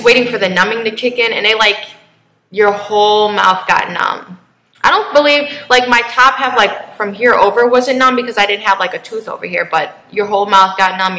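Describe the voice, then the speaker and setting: A young woman in her twenties chats to her camera and rambles through a personal story, full of fillers and asides. Her voice is bright and forward, and the room gives it a noticeable echo. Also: mid-range voice. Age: 20s. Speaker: vlogger. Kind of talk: casual talk to camera.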